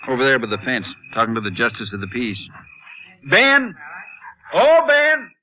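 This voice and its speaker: A man's voice: medium pitch, huge pitch swings, moderate pace, sharp tone. A man playing a folksy, talkative small-town Texas sheriff in a vintage radio drama. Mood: calling out. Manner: folksy, conversational. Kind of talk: 1950s radio drama acting. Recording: noisy old radio drama recording.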